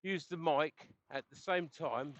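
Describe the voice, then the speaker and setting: Man, slightly high voice, chatty cyclist talking through a dull headset mic on the road. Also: a little slow, fairly bright tone, a little hiss or hum. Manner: rambling, chatty, chuckles often. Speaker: cycling vlogger. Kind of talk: casual chat while riding. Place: outdoors on a bike on the road. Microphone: helmet intercom headset mic.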